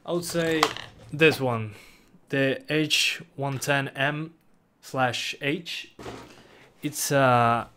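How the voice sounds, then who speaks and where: A man's voice: medium pitch, drawn-out words, pauses often, a little hiss or hum. A young man from Uruguay with a Rioplatense Spanish accent, a native Spanish speaker casually reading out and answering chat questions on a live stream with frequent chuckles. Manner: relaxed, reads questions aloud, chuckles often.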